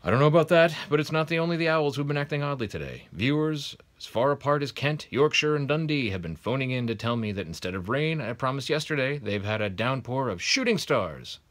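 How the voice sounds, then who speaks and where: A man voicing a jovial male TV weatherman from a storybook, loud and folksy. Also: medium pitch, animated, a little fast, few pauses, pitched above the performer's own voice, natural voice.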